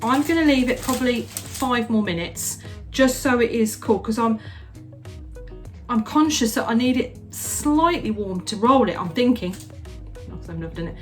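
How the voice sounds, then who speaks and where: A woman's voice: fairly high pitch, animated, normal speed, soft background music. A middle-aged woman chatting casually while she bakes, rambling with many restarts and "you know"s. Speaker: home baker, the host's mother. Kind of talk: casual chat while baking.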